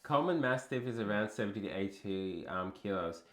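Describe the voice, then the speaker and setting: Southern lady, super low voice, bouncy pitch, chatty with lots of ums, echoey room. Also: animated. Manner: laughs often, halting with fillers. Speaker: co-host of a cooking stream. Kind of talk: casual chat.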